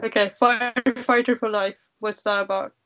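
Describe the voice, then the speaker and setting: Young woman, podcast host, teasing chatter, flat even delivery, dull lo-fi sound. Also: a little high, moderate pace. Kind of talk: casual sibling podcast chat.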